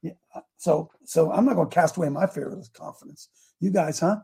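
An older man hosting a morning talk show, with a gravelly, hoarse voice, folksy and emphatic, repeating his phrases as he preaches. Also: on the high side, fairly quick, dark tone. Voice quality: gravelly, raspy voice. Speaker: host.

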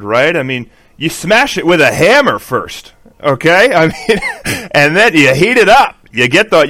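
A man with a lively, swooping voice explains his point with animation. His tone is bright and a little high, with a dry, close sound. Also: no echo.

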